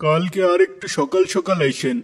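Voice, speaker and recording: A man's voice: fairly high pitch, fairly quick, breathy edge. A man voicing a helpful, sympathetic market worker in a moral-tale cartoon, friendly and matter-of-fact.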